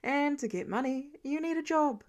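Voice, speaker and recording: A woman with a fairly high voice narrates fan fiction. She reads from a script in an expressive storytelling style, as a podfic reader does. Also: normal speed, lo-fi sound.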